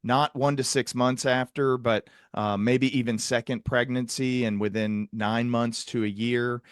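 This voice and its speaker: A man's voice: medium pitch, fairly even intonation, a little slow, smooth, no echo, studio quality. A man hosting a clinical interview podcast, talking in an earnest, wordy way and often rephrasing mid-thought. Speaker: podcast host and psychiatric clinician interviewing a guest. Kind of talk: conversational interview with long reflective remarks.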